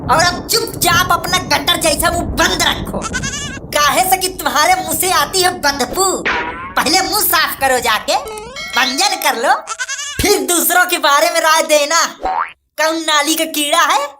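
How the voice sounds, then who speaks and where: High-pitched, defiant young bridegroom voiced by a woman. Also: unhurried, over music. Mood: cutting. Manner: firm, emphatic, cutting retorts. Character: defiant principled young man, an educated village bridegroom. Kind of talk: comic cartoon dialogue.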